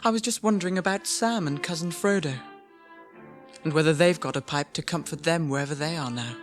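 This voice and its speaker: A man's voice: a little high, a little fast, over music, lightly acted. An actor playing a cheerful young male hobbit who talks in a chatty, wistful way, in an old radio drama.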